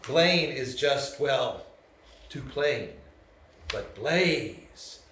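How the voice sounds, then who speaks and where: A man playing a slick, persuasive dealmaker in a live table read, delivering his pitch with dramatic pauses. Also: expressive, fairly bright tone, heavily acted. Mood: grandiose flattery. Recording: echoey room with background noise.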